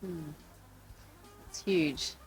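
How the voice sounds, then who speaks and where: A woman's voice: low-pitched, drawn-out words, faint music behind, poor audio. A woman hosting a podcast, interviewing guests in a conversational way. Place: couch in a room in Nakasi.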